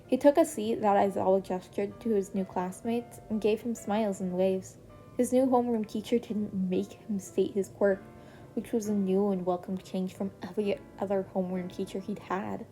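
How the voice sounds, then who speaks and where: Brief: woman narrator, mellow and relaxed, faint harpsichord music behind. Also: medium pitch, normal speed. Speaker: fan fiction reader. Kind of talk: narrating fan fiction.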